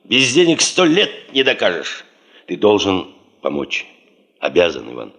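A man's voice: low-pitched, wildly swooping pitch, measured pace, fairly bright tone, exaggerated voice. An actor in a Soviet radio play as a driven, stubborn male geologist chief, terse, insistent and commanding. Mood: pressing, resolute.

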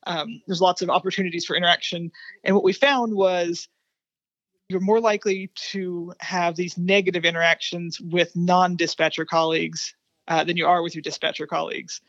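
A woman speaking as a researcher guest in an interview, explaining findings in a measured way. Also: on the low side, fairly even intonation, a bit bright, dry recording, highs cut off.

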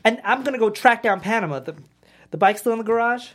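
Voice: mid-range voice, fast, close to an everyday voice. An actor playing an exasperated, sarcastic down-on-his-luck working man, argumentative, at a comedy table read. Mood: uneasy, resigned.

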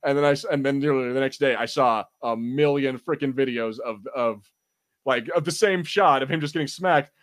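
A man's voice: mid-range voice, brisk. A man co-hosting a podcast, chatting casually about film and TV in a rambling way with frequent swearing. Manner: rambling, profane. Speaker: podcast co-host.

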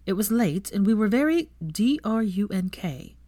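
A woman's voice: medium pitch, big pitch swings, moderate pace, dark tone, no room sound, studio quality, voice pushed up. Woman voicing a sheepish young woman. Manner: defensive, rueful, a little embarrassed. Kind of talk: audiobook character voice.

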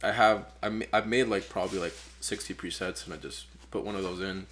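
A man's voice: low voice, fairly quick. A man in his twenties from Peru, a photographer chatting casually in a podcast interview, rambling with lots of "like" fillers. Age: 20s. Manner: relaxed, rambling, many "like" fillers. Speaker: photographer guest.

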